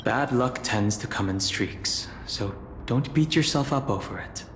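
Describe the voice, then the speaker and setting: An actor playing a calm, analytical young traveler in a fantasy video game, speaking in a measured, contemplative way. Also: male voice, medium pitch, slight background noise, close to an everyday voice. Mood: reassuring. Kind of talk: English-voiced fantasy game dialogue and monologue. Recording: echoey reverb, music underneath.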